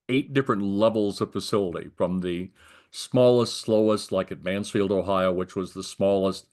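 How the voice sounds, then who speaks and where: An older American man in a conversational interview, explaining his working life in careful detail. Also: sits low. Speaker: retired air traffic controller being interviewed.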